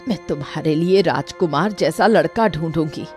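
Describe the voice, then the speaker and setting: A woman's voice: low voice, moderate pace, a little mellow, soft background music, voice pushed down, close to an everyday voice. A woman voicing a worried, protective middle-aged mother in a cartoon story, firm and concerned. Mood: worried, resolute. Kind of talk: dramatized Hindi cartoon story.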